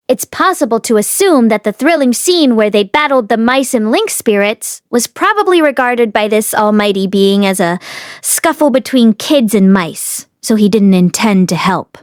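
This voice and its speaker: A woman's voice: a little high, no room sound, studio quality. A woman reading a fantasy novel aloud as an audiobook narrator. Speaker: audiobook reader. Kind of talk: expressive storybook narration.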